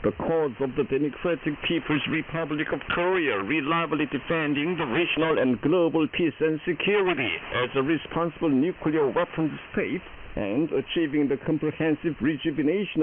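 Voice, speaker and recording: A male news announcer solemnly reads a formal, scripted propaganda bulletin in a stiff, declamatory way over shortwave radio. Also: on the high side. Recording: noisy narrowband shortwave AM radio broadcast.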